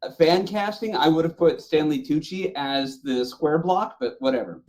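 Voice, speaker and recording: A man with a slightly high voice talks casually as a podcast co-host. He tells stories in a joking, loose way and swears freely. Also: normal speed, darkish tone, a little hiss or hum. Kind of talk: casual group chat about movies and games.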